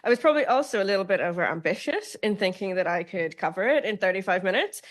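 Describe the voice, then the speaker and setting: A woman's voice: medium pitch, expressive, fast. Northern Irish woman giving a lecture. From Northern Ireland. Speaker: guest speaker, law professor. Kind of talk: academic lecture.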